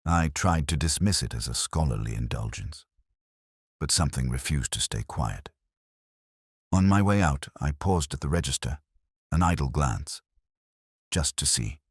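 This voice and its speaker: A man narrating a first-person detective story in a measured, brooding, literary way. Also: rumbling low, expressive, husky, no echo, studio quality.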